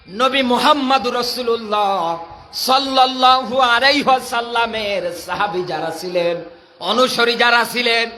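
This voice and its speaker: A man's voice: very high-pitched, sharp tone. A Bangladeshi man preaching an impassioned Islamic sermon in a declamatory manner, prodding his listeners with questions. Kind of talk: fiery outdoor-rally sermon with Quran recitation. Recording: noisy live public-address recording.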